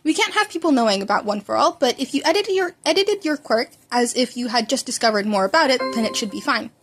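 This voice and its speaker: A woman's voice: on the high side, animated, fast, soft background music. A woman voicing an earnest adult male hero mentor, formal and hesitant, in a story reading. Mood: formal, approving.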